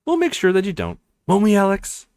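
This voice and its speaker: Man voicing an earnest, coaxing young woman, animated. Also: sits high, fairly quick, no room sound, studio quality, pitched above the performer's own voice. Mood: tender, insistent.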